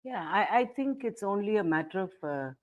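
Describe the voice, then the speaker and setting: An older woman author answering questions in an online book talk, blunt and measured as she thinks aloud. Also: mid-range voice, expressive, dull audio. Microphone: video call.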